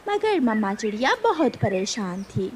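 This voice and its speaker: Animated, high-voiced woman narrator. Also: measured pace, background noise. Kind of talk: scripted children's story narration.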